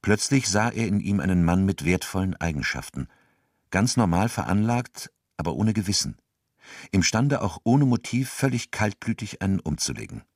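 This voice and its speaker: A man's voice: low-pitched, very animated, moderate pace, dry recording, studio quality. A man narrating a crime radio drama from a script as its storyteller.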